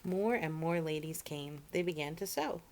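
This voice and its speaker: A woman reading a children's storybook aloud in an expressive, animated way, as a storyteller for children. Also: low voice, normal speed, a little hiss or hum. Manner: expressive, acts out the dialogue.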